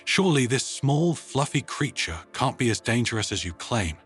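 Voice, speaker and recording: A man's voice: mid-range voice, very animated, moderate pace, fairly bright tone, faint music behind. A man voicing a theatrical, wry male presenter with mock-grand flourish in a narrated story. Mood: wry, playful.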